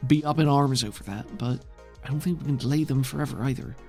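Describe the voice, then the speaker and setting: Tired worn-out lady official, sighs a lot, creaky voice , guy doing her. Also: mid-range voice, fast talker, darkish tone, soft background music, lightly acted. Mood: weary. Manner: sighing, weary, frank. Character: tired, worried woman official, weary and blunt.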